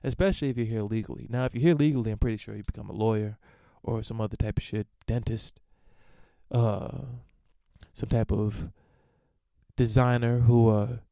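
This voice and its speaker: A man talks alone into a dry, close recording, his voice smooth and warm. He rambles through listener emails with crude, irreverent jokes and lots of fillers, in an unhurried host's monologue. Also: lowish voice, fairly even intonation, dry recording. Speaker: podcast host answering listener emails. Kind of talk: casual solo monologue.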